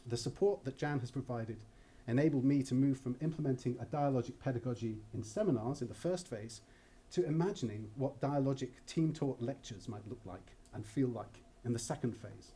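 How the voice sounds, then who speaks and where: A man giving a formal, prepared introductory speech as an academic colleague, in a measured and articulate way. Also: medium pitch, mellow, breathy edge. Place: lecture hall at a university event.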